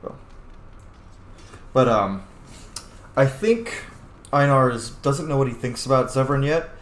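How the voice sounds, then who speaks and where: A man's voice: mid-range voice, takes it slowly, a bit bright. A man narrating his roleplay playthrough of a game in a casual, informal, musing way. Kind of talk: casual game commentary and in-character dialogue reading. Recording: roomy echo, faint background noise.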